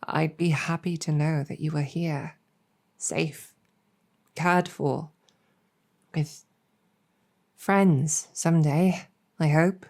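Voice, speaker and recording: A woman playing a gentle, earnest, world-weary demon general in an ASMR roleplay, speaking softly and reassuringly with measured hesitation. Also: low voice, steady tone, gravelly, full character voice. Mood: tender, hopeful. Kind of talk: improvised ASMR roleplay storytelling.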